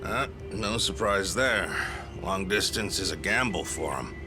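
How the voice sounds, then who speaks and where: A man's voice: deep voice, expressive, a little slow, music in the background. A man playing a chatty, folksy, laid-back taxi driver in a video game, rambling along informally. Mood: chatty, easygoing. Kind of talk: English video game cutscene acting.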